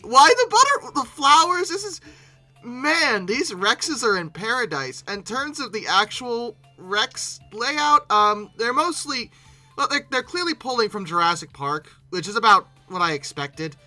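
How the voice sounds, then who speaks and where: A YouTube host giving casual, animated reaction commentary with exasperated asides. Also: mid-range voice.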